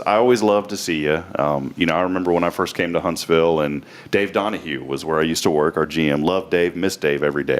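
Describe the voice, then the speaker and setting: A man hosting a casual morning talk show, upbeat, folksy and chatty. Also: low-pitched.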